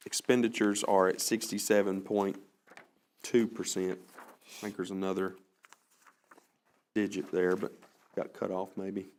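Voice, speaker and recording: A man presenting enrollment and budget figures at a school board meeting, halting with many fillers. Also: lowish voice, a little hiss or hum.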